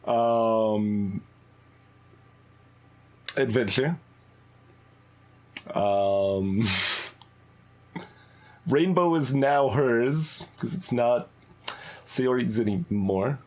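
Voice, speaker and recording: A streamer giving casual playthrough commentary, halting and musing aloud. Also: medium pitch, darkish tone. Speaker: video game streamer.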